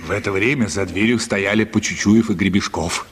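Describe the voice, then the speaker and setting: Narrator for radio drama: man, low and husky, expressive literary delivery, reverberant hall sound. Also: a little fast.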